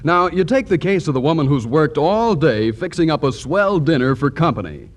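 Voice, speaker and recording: A man's voice: fairly high pitch, very animated, moderate pace. An American man announcing in the polished scripted style of a 1940s radio commercial. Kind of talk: 1940s radio advertising pitch.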